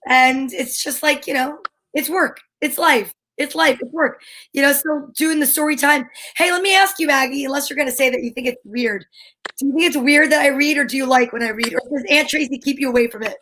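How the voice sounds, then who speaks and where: A woman's voice: high-pitched, a little fast, sharp tone. A woman co-hosting a radio show in casual chat, enthusiastic and teasing.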